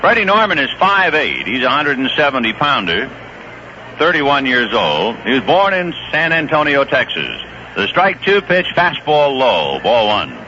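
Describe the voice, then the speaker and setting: A man doing play-by-play baseball commentary on a vintage 1970s radio broadcast, brisk and rising on big plays. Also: medium pitch, very animated, pushed and bright, lo-fi sound, a little hiss or hum. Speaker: play-by-play announcer. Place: ballpark broadcast booth.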